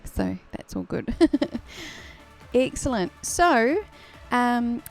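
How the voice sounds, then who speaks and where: A woman's voice: on the high side, animated, very slow, vocal fry, soft background music. A woman from New Zealand with a New Zealand accent tinged Australian, chatting casually on a live stream, laughing often and using many fillers. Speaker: singer hosting a live stream.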